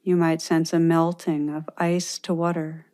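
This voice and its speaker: A woman meditation teacher leading a guided meditation with gentle, unhurried instructions and pauses. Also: sits low, little pitch movement.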